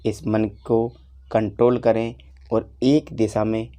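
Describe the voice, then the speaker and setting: A man teaches guided meditation in a lowish, mellow voice. He speaks very slowly, laying out each instruction in short phrases and leaving long pauses between them. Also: level tone.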